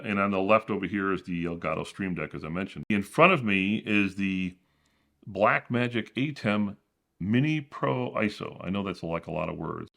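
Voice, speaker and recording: A man with a deep, booming voice walks through his home studio setup. He explains casually and often restarts his sentences. The sound comes from a camera-mounted shotgun mic in a small room. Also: fairly bright tone. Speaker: channel host. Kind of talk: studio tour walkthrough.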